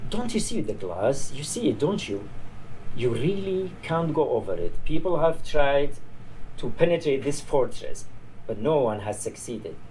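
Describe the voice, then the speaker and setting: A man's voice: medium pitch, very animated, unhurried, warm tone. A man reading the part of a tired old border guard in a story, chatty, dismissive and grimly amused. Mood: incredulous, discouraging. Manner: weary, chatty, discouraging. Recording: noisy live reading in a bar.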